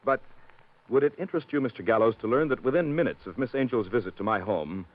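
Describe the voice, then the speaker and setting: A cool, sharp-witted detective, voiced by a male actor in a vintage radio drama. He speaks in a measured, cutting way, and his pitch moves a lot. The sound is tinny and narrow, like it is coming over a phone line. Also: animated, close to an everyday voice. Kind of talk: 1940s radio acting. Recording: tinny old radio broadcast.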